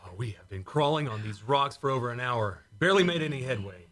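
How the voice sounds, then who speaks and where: A man's voice: medium pitch, lively intonation, fast talker, music in the background, close to an everyday voice. A man playing a proud, stubborn space commander in a science fiction radio play, with an exasperated, emphatic delivery. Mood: irritated, defensive. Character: proud, stubborn male space commander, prickly with an old flame.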